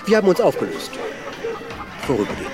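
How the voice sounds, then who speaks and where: Boisterous wandering stage player, higher-pitched voice, cynical, mocking, theatrical radio drama delivery, music underneath. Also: male voice, huge pitch swings, fast talker, over a noisy crowd. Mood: teasing, persuasive. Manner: theatrical, mocking, swinging from hushed to ranting. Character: boisterous, cynical travelling actor lecturing a country boy.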